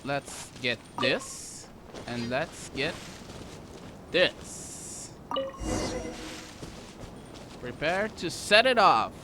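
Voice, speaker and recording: A man's voice: fairly high pitch, very animated, very slow, noisy background. A man doing casual video game commentary, playfully muttering to himself and echoing game text. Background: loud game music.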